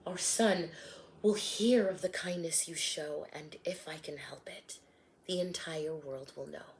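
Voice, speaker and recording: A woman reading the lines of a grateful young mother in a gentle, heartfelt way. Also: low voice, unhurried, slight background noise.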